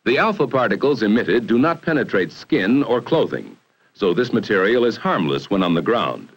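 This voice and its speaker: An American man narrating a 1960 educational film in a formal, measured, authoritative way, on a vintage film soundtrack. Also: fairly low pitch, sing-song, slightly rough, dull audio. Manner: formal, measured, authoritative delivery with emphatic phrasing.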